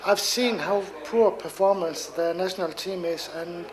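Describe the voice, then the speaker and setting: A man from Denmark answers interview questions, halting often on filler sounds. His voice sits quite high for a man. A busy crowd murmurs clearly behind him. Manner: hesitant, many filler sounds. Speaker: football team founder.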